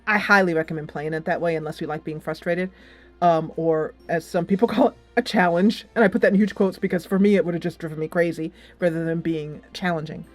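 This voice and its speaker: A woman's voice: fairly low pitch, steady tone, fairly quick. A woman giving a casual, enthusiastic game review in a conversational, rambling way. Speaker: video game reviewer, channel host. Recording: music playing behind the voice. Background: game soundtrack music playing in background.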